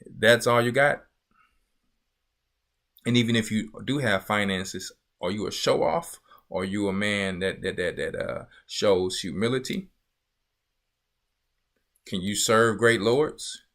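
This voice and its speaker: A man's voice: on the low side, takes it slowly, long pauses, fairly bright tone. A man teaching a Bible lesson as a church elder, emphatic and repetitive, often asking listeners if they see his point. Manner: emphatic, repeats phrases and asks listeners "you see". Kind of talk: sermon-style Bible teaching.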